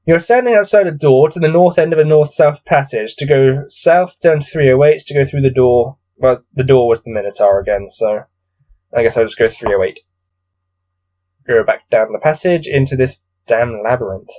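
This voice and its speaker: A man's voice: medium pitch, normal speed, dark tone, low-quality recording. A man with a cold coming on reads a gamebook aloud, adding weary, exasperated, off-the-cuff commentary. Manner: weary, grumbling, exasperated asides. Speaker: solo gamebook reader and commentator.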